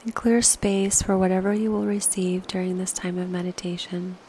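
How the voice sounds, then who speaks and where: A woman leading a slow guided meditation, speaking softly and unhurriedly in short phrases with pauses, often posing reflective questions. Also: fairly low pitch, flat delivery, noisy background.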